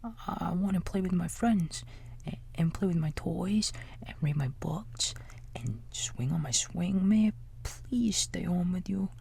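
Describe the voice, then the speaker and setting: A man voicing a young boy raccoon in a storybook, whispering in a pleading, halting, scared way. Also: high-pitched, animated, creaky, a little mellow, slight background noise, voice pushed up, full character voice. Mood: tearful pleading.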